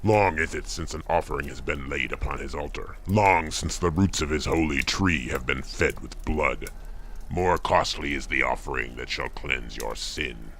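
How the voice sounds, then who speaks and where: A man voicing a grave, menacing old pagan high priest in an audio drama, solemn and incantatory in archaic speech. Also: a bit bright, a little hiss or hum, full character voice.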